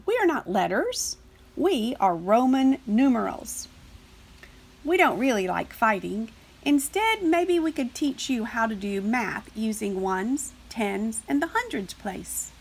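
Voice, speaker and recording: Pedantic Roman numeral soldier for a storybook, female reader acting: high, officious, declamatory, mild at heart. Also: vocal fry, husky, heavily acted.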